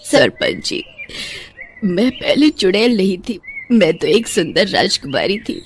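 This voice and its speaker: A woman's voice: medium pitch, very animated, measured pace, a little hiss or hum. A woman voicing a kindly, reassuring witch who was once a princess, in a cartoon bedtime story. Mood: sorrowful, earnest. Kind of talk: Hindi animated bedtime story acting. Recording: music behind the voice.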